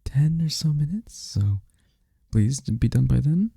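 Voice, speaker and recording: A man playing an affectionate, teasing incubus boyfriend in an intimate, softly spoken roleplay ASMR, husky and breathy. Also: animated, dry recording, studio quality, full character voice. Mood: caring. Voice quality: gravelly, breathy, husky low voice.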